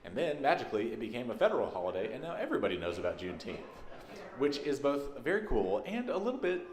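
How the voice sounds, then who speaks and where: A man's voice: medium pitch, very animated, fast. A man with a Texas accent, a minister giving a conversational, folksy welcome to a congregation at a church service. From Texas, United States. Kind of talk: informal spoken welcome to a congregation. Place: large church sanctuary, indoors. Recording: echoey sanctuary with background noise.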